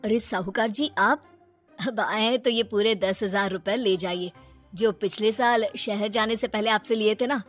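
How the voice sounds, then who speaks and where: A woman voicing an honest, polite and eager noodle-cart seller, a man, in an animated moral story. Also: mid-range voice, moderate pace, soft background music, lightly acted. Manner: polite, obliging.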